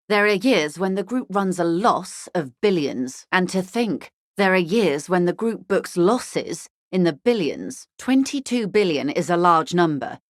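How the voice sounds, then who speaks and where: An actress playing a shrewd, genteel corporate negotiator in a video game, speaking in a refined, articulate and dryly understated manner. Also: female voice, fairly low pitch, no room sound, clean studio sound. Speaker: video game character voice actor. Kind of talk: video game dialogue, measured conversational delivery.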